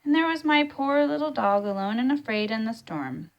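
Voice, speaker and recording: A woman's voice: on the high side, expressive, normal speed, dull audio, pitched above the performer's own voice. A woman voicing a kindly old grandfather, telling a childhood tale with emphasis in a storybook read-aloud. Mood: dramatic.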